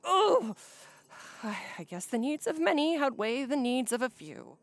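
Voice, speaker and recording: A woman's voice: sits high, very animated, a little slow, creaky, no echo, full character voice. A woman playing a skeptical, blunt starship crew member in a comedic sci-fi play, with a pointed, questioning manner. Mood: incredulous.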